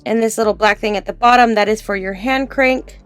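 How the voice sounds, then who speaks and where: A woman's voice: medium pitch, fairly quick, clean tone, faint music behind. A woman giving a casual product review and demonstration, explaining things informally and step by step.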